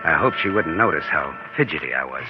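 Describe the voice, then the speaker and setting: Casting: smooth, slangy radio schemer, deep register with big swings, on a dull vintage recording. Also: male voice, expressive, a little fast. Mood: cool, wry. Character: scheming male impostor posing as an heiress's nephew. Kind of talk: 1940s radio suspense acting, first-person narration. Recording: muffled old radio recording, music behind.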